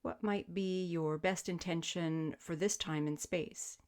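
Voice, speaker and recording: A woman guiding a sleep meditation as a yoga teacher, speaking in gentle, soothing, measured invitations. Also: lowish voice, steady tone. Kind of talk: guided sleep meditation, spoken instruction.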